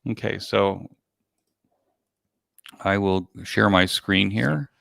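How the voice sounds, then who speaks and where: A man's voice: lowish voice, drawn-out words, creaky, fairly bright tone, no room sound, studio quality. A man speaking as an interview guest and event organizer, explaining things conversationally, step by step.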